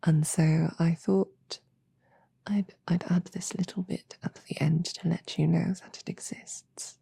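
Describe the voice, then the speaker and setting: A soft-spoken woman with a low, mellow voice gives a gentle outro to listeners. Breath runs through the words, which often drop close to a whisper. Phrases come slowly and a little haltingly, with a coy touch. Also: level tone, creaky, slightly rough. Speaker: audio roleplay creator addressing listeners. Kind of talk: soft-spoken ASMR-style outro and plug.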